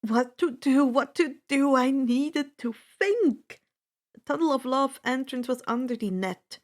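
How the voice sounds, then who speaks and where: A woman reading a first-person adventure story aloud as an expressive, dramatic narrator. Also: sits high, measured pace. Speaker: audiobook-style fan fiction reader.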